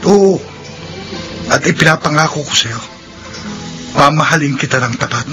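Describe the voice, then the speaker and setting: An actor playing a devoted, resolute young suitor in a Filipino radio drama, tender and earnest. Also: male voice, a little high, animated, normal speed, over music, natural voice.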